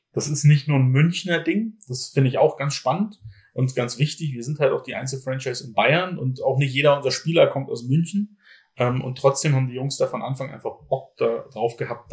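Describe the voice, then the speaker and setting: A man talks shop about running a football team in a casual podcast. His voice sits in the middle of the male range. He rambles, restarting sentences and leaning on fillers as he goes. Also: mid-range voice. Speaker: general manager of a football team.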